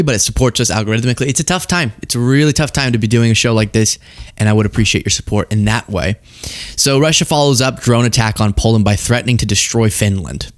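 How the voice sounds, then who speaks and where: A man's voice: medium pitch, no echo, clean studio sound. A man hosting a political commentary show, talking casually and sarcastically, laughing often.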